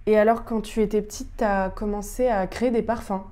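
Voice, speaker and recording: A woman hosting a podcast, asking calm, even interview questions. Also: mid-range voice, fairly quick.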